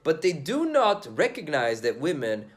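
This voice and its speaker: A young man from Sweden, talking straight to his viewers in a loose, slangy way. His pitch rises and falls a lot, and it sits a little high for a man. He is blunt and swears freely. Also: wildly swooping pitch, moderate pace. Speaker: dating commentator vlogger. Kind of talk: casual monologue to camera.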